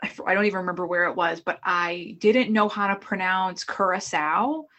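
A woman from the Boston area with a Boston accent, an author chatting casually in an interview over a video call, self-deprecating and quick to restart her sentences. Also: lowish voice, a bit bright. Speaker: author guest.

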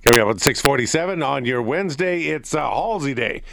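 A man's voice: medium pitch, expressive, fairly quick, creaky, a bit bright, a little hiss or hum. A man hosting a sports talk show in a casual, chatty interview style. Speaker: radio sports talk host. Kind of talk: casual radio interview chat.

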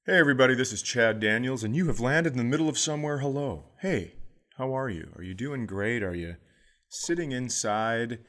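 A man's voice: mid-range voice, expressive, fairly quick, a bit bright. A middle-aged man hosting a comedy podcast, chatting casually and playfully, slipping into goofy voices for jokes.

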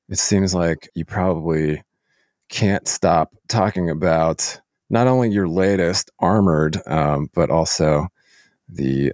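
A man speaks as a podcast host in a notably deep register. His intonation moves widely from phrase to phrase, and the sound is dry, with no room around it. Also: a little mellow, no room sound. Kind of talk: scripted podcast intro and ad reads, interview chat.

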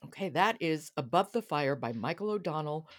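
A woman hosting a podcast in casual chat, briskly prompting her guest with questions. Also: low-pitched, animated. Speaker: podcast host.

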